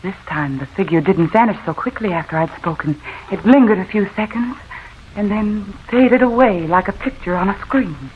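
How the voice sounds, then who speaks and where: A woman acts out a haunted, nervous wife in an old-time radio horror drama. She narrates her dread dramatically, in halting confessional phrases, at a middling pitch. The recording is dull and aged, with some noise. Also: animated, natural voice. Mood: haunted, hushed.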